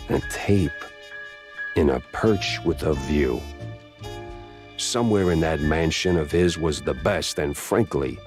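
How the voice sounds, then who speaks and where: An actor playing a world-weary private detective and war veteran in a noir game, deadpan and sardonic. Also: male voice, rumbling low. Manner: deadpan, sardonic, slow drawl. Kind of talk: noir game narration and dialogue.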